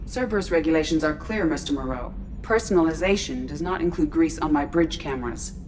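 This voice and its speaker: An actress voicing a calm artificial intelligence aboard a starship in a video game, clinical, precise and formal. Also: female voice, low-pitched, fairly quick, lightly acted. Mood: formal, unruffled. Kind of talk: video game character acting. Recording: clear background noise, ship-like ambience.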